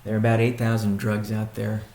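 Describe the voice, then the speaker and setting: A man speaking as a chief executive on a conference call, answering questions in a halting, deliberate way with pauses and restatements, in a roomy recording. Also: on the low side, completely flat delivery, darkish tone, slight background noise. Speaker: company CEO hosting a weekly conference call. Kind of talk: question-and-answer business briefing. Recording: roomy, echoing sound.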